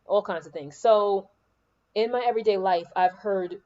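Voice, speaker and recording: A woman hosting a podcast of spiritual encouragement in a soothing, calming voice. She talks in an unhurried, reflective way and repeats key lines for emphasis. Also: mid-range voice, lo-fi sound. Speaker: podcast host and spiritual coach. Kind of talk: spiritual motivational monologue. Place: room at home with air conditioning on. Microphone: laptop microphone.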